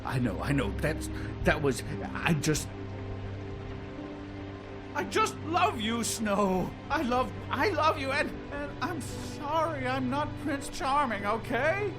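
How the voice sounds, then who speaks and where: Craven middle-aged official for game drama: pleading, stuttering, hugely animated pitch, over music. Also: male voice, slow pace, full character voice. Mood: abject, pleading. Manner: stammering, pleading. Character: cowardly, desperate middle-aged male embezzling official. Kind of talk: dramatic video game acting. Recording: music under the voice.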